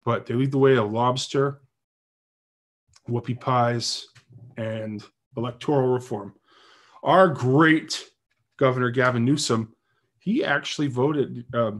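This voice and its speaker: A man's voice: medium pitch, slow. A man hosting a casual political podcast livestream, joking and chatting as he thinks aloud. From New Hampshire. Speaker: podcast host.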